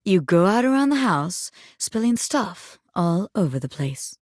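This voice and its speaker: A woman's voice: mid-range voice, very animated, unhurried, no room sound, studio quality. A woman voicing a cocky, self-important bobby pin who fancies itself a crime boss in a video game, swaggering, slangy and boastful. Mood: sly, persuasive. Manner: swaggering, slangy, boastful, peppering talk with pet phrases. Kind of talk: video game character voice acting.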